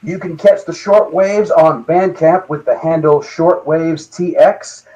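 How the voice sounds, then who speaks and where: A man hosting a podcast, reading scripted bits and interviewing guests in a deadpan, profane comic manner. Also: a little high, measured pace, dull audio. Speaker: podcast host. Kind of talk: scripted show monologue and band interview.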